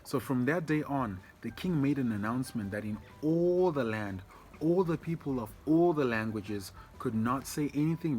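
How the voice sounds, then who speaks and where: A man telling a story to children informally as a church storyteller, explaining things and addressing them directly. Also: mid-range voice.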